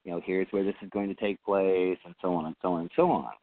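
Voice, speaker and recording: A man's voice: low-pitched, steady tone, quick pace. A man on a talk show panel, hesitant and filling his talk with many uhs and restarts. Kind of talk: casual panel chat. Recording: dry tinny phone-line audio.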